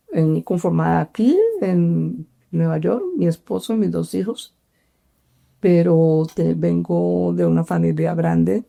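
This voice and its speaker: A middle-aged woman from Cali, Colombia, with a Colombian accent, telling her life story in an interview, halting with many fillers and restarts. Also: fairly low pitch, gravelly, dark tone. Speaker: interview guest.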